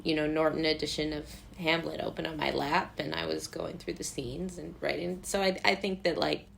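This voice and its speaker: A woman's voice: sits low, fairly even intonation, normal speed, vocal fry, low-quality recording. An American woman from Brooklyn talking casually as an interview guest, informal, rambling, amused and self-deprecating. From Brooklyn, United States.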